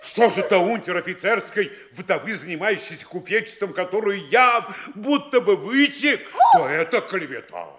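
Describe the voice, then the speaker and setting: Older town governor, highish voice, very animated pitch, fawning, scheming, panicky stammer, pleading, theatrical radio comedy. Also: male voice, moderate pace, few pauses. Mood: panicked, pleading.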